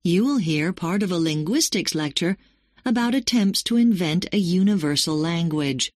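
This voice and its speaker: A woman's voice: lowish voice, animated, moderate pace, a little mellow, no echo, clean studio sound. Formal woman reading exam instructions. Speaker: exam announcer. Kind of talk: scripted test instructions.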